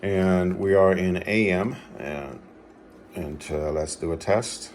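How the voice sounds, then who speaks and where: A man giving an unscripted hands-on demonstration as a radio hobbyist, speaking in a halting, matter-of-fact way. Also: sits low, a little mellow, a little hiss or hum.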